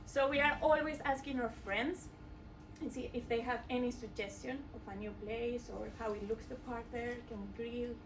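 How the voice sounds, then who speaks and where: A woman with a Mexican accent giving a pitch presentation hesitantly, with frequent fillers. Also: steady tone, normal speed. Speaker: student presenter. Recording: voice from far off the mic.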